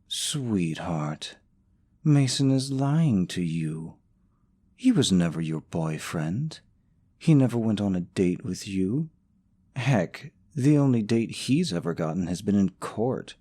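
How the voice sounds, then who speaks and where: Smug, low-voiced possessive boyfriend played by a man. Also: very animated, takes it slowly, dark tone, slightly rough, heavily acted. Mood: sarcastic, wooing. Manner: smug, sarcastic, cloyingly sweet to listener. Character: smug possessive young boyfriend stalker, sneering at his rival. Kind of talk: scripted romantic audio roleplay.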